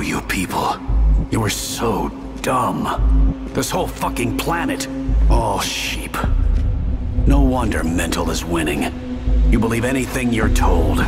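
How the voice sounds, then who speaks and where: An actor playing a contemptuous, self-assured middle-aged turncoat general in a video game. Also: male voice, lowish voice. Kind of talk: video game cutscene acting. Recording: long hall reverb, music behind.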